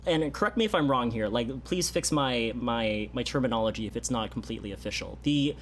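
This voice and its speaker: A man's voice: mid-range voice, lively intonation, a little fast. A man hosting an interview, asking long hesitant questions and correcting himself as he goes. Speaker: interviewer, channel host. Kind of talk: casual interview chat. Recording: faint background noise.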